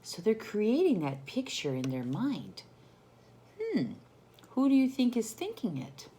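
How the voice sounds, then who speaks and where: A woman reading a picture book aloud to children in an expressive, teacherly way, putting questions to her young listeners. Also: a little high, a little slow.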